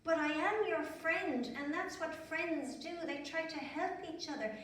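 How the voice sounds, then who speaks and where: A woman voicing a kind, earnest young boy in a storybook, speaking gently and deliberately as a reassuring friend. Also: high-pitched, pitched above the performer's own voice. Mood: gentle, hopeful. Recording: very echoey, distant mic, faint noise.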